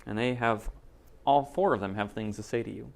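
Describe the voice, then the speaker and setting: A man's voice: medium pitch, normal speed, vocal fry. A man reading a picture book aloud, casually introducing it to children as he goes.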